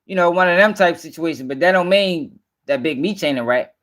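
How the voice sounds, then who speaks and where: A high-voiced man hosts a casual livestream. He comments bluntly in heavy slang, swears freely and repeats his points for emphasis. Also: a little fast, a bit bright. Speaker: host.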